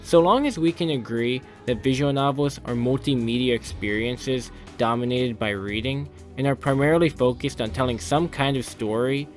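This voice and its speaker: A man's voice: mid-range voice, normal speed, hardly any pauses, soft background music. A man narrating a scripted video essay in an opinionated, literary manner with formal wording.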